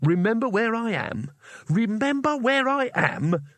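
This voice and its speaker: A hapless fantasy wizard , acted by a male storybook narrator, with a voice pushed up high. He is nervy and indignant, a coward who answers with exasperated sarcasm. The sound is dry and close. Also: expressive, fairly quick, fairly bright tone, no room sound. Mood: shouting, indignant.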